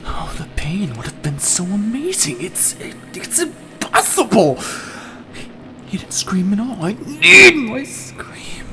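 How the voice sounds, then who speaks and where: Shrill, unhinged sadistic killer. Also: male voice, wildly swooping pitch, slow pace, vocal fry, over music, heavily acted. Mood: anguished frustration. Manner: theatrical, swings from gloating murmur to shrieking. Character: sadistic, unhinged male killer, gleeful and explosive. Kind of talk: audio drama acting.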